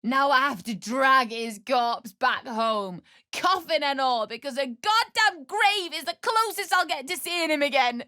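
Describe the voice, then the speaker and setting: Game role: grieving, bitter young widow, slow emotional delivery, very dry recording. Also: female voice, high voice, lively intonation, pushed and bright, no echo, exaggerated voice. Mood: bitter, defensive. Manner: emotional, pleading, blunt. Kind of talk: video game character dialogue.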